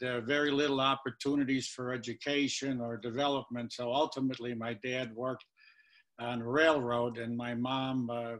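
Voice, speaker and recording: A man in his eighties, born in Lithuania, answering interview questions about his childhood, with many pauses and fillers. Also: mid-range voice. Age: 80s. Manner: halting, many uh fillers, trails off quietly. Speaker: guest.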